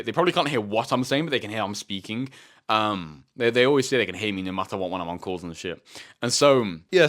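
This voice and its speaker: A seventeen-year-old man telling a rambling, casual story, with a fairly deep voice, swearing often and saying "bro" and "like" a lot. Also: a bit bright. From United Kingdom. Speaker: self-improvement YouTuber.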